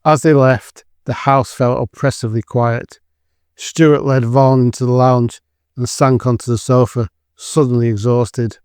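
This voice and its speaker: A man's voice: medium pitch, level tone, unhurried, a little mellow, smooth, no echo, studio quality. A man giving scripted dramatic narration for an audio drama.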